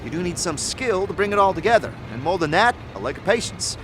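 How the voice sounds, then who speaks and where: Man putting on a high, brisk, bossy forge forewoman. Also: big pitch swings, music in the background. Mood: bossy, approving. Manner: bossy, no-nonsense, briskly instructing. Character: brisk, gruff, short muscular horned forge forewoman. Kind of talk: fantasy audio drama character acting.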